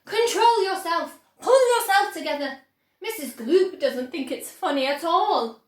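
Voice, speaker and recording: Lady doing an eccentric playful factory man from a storybook, high voice, slightly echoey room. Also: lively intonation, unhurried. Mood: brisk, playful.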